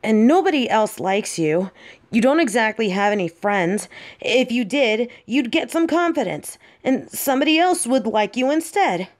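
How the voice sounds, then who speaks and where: A woman playing a possessive, brash but secretly smitten bully girl in an audio roleplay, speaking straight to the listener in a bossy, halting way. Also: a little high, big pitch swings. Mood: awkward, confessing. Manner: bossy, taunting, flustered halting delivery.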